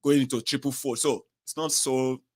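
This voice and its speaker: A man's voice: medium pitch, steady tone, normal speed, dry recording. Man, call-in radio host, chatty, encouraging, dry close-miked sound. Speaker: radio talk show host. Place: Glasgow.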